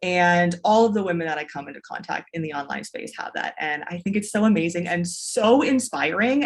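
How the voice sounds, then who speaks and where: A woman, a business mentor, telling her story as a podcast guest in an enthusiastic, long-winded way with restarts. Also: medium pitch. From Canada. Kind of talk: casual interview storytelling.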